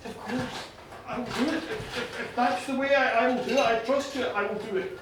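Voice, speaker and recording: Storyteller as high-voiced, emotional grieving widower, echoing. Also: male voice, fairly even intonation, a little fast. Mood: earnest, faltering. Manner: declaiming, emphatic, repetitive. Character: grieving widowed man, obedient yet bitterly emotional. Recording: distant mic, very echoey space, faint noise.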